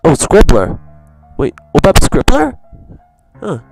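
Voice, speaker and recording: Slow-talking man, slightly high voice, reacting casually. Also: wildly swooping pitch, creaky, darkish tone. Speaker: reaction video host. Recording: music playing behind the voice.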